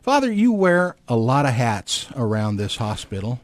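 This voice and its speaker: A man's voice: mid-range voice, sing-song, normal speed, dry recording. A man interviewing a hospital priest, talking in a friendly, easygoing way with light fillers. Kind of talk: conversational interview.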